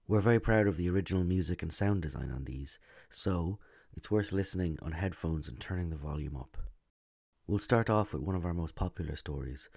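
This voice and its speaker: A man's voice: low voice, mellow. A man hosting and narrating a story podcast, reading from a script.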